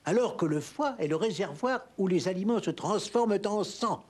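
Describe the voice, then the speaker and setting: A man reading scripted narration for an educational history cartoon, with a husky voice. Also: sits high, animated, moderate pace, a little mellow. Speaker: documentary narrator.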